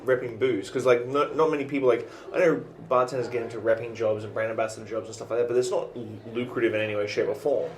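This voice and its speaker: Male podcast host, mid pitch, casual and eager, filler-heavy delivery. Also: fairly even intonation, fast talker, hardly any pauses. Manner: filler-heavy, enthusiastic, restarts. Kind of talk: casual podcast intro and interview. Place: small bar.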